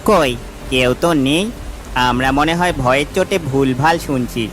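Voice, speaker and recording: A man voicing a bold, excitable village boy in a cartoon, with a brash boyish manner. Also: mid-range voice, measured pace, slight background noise, natural voice. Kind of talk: cartoon voice acting.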